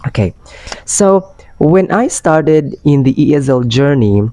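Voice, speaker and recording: Young man, Filipino accent, hoarse with a cold, casual vlog talk, mixes in Tagalog, dry close sound. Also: a little high, lively intonation, slow pace, dark tone, no echo. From Philippines. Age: young adult. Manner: chatty, switching between English and Tagalog with fillers. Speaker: vlogger and part-time ESL tutor.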